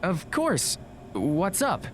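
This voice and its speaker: A woman playing an easygoing, modest high school student in an audio drama, casual and slightly hesitant, with music behind. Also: huge pitch swings, very slow, a little hiss or hum, heavily acted. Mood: casual, friendly.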